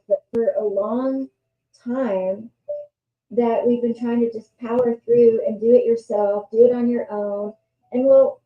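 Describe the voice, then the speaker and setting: Chatty West Texas woman, echoey room. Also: fairly high pitch. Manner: chatty, rambling, earnest. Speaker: host. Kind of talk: casual faith talk. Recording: echoey room, dull lo-fi sound.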